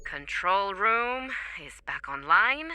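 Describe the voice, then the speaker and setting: An actress playing a cool, businesslike military command officer in a video game, briefing in a clipped, formal way. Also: female voice, medium pitch, big pitch swings, takes it slowly, heavy background noise. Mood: alert, businesslike.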